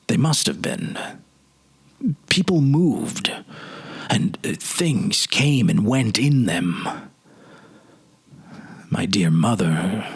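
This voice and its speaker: A haunted, wistful man, as a male reader performs him looks back on a lost childhood. His voice is low and a little rough, and he speaks very slowly, with earnest, dramatic hesitations as in a storybook drama. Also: exaggerated voice. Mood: hushed wonder. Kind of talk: dramatic literary reading.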